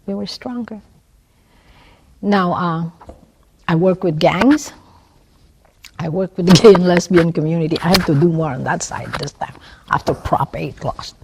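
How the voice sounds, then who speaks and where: A woman's voice: lowish voice, a little slow, vocal fry, long pauses, a little mellow, a little hiss or hum. A woman in her sixties with a Filipino accent, a nurse and community activist telling her personal story in an interview, earnest and emphatic, often tagging "right?". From Philippines (La Union, Ilocos region). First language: Ilocano. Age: 60s.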